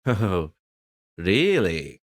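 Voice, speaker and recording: A man's voice: low-pitched, sing-song, drawn-out words, heavily acted. A man playing a friendly, cautious young working-class fellow with an Irish accent in an audio drama. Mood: teasing caution.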